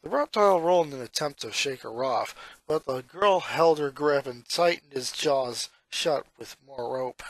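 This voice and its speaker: A man reading a story aloud as an expressive amateur narrator. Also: mid-range voice, moderate pace, few pauses. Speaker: narrator reading fan fiction.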